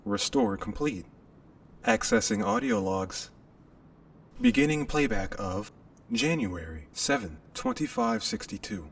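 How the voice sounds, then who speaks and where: A man's voice: fairly high pitch, huge pitch swings, a little slow, vocal fry, recorded from a distance, a little hiss or hum, pitched above the performer's own voice, exaggerated voice. A man voicing an impersonal spaceship computer that makes clipped, formal announcements in a sci-fi audio drama.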